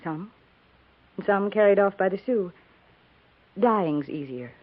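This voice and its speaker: An American actress playing a practical, seasoned frontier army wife, neighborly but grave, speaking in a measured, matter-of-fact way in a 1950s radio drama. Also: female voice, medium pitch, animated. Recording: tinny, narrow-band 1950s radio recording.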